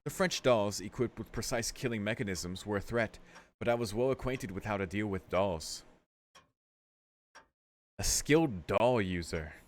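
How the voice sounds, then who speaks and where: A man with a fairly low voice reads visual novel text aloud for a let's play. He drifts between narration and casual, sweary asides, muttering his reactions as he goes. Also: normal speed. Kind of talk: casual gaming commentary mixed with read-aloud narration.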